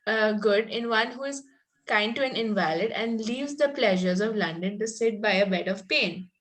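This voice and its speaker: A woman's voice: medium pitch, moderate pace, a bit bright, lightly acted. A woman playing a sweet, naively romantic young Victorian girl, speaking earnestly and a little primly in an amateur table read of a stage comedy.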